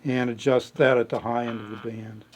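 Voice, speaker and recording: A man's voice: mid-range voice, little pitch movement, moderate pace, slight background noise. A man giving an unscripted hands-on technical walkthrough, thinking aloud in a matter-of-fact way. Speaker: presenter of a radio repair demonstration.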